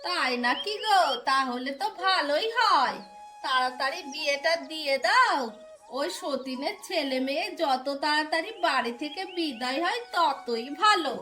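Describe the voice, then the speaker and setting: A woman acts out a scheming middle-aged village wife and stepmother from a cartoon folk tale. Her voice is high and forceful, and she exclaims and complains pointedly. Faint music sits behind her. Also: lively intonation, normal speed. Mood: eager, calculating.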